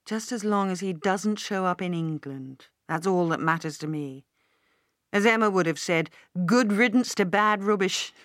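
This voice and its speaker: A woman narrator plays a decisive businesswoman in an audiobook. She speaks with crisp assurance and a disdainful edge. The sound is close and dry. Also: medium pitch, normal speed, no room sound, natural voice. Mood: curt, disdainful. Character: poised, decisive businesswoman heir, firm and disdainful. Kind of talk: audiobook character dialogue.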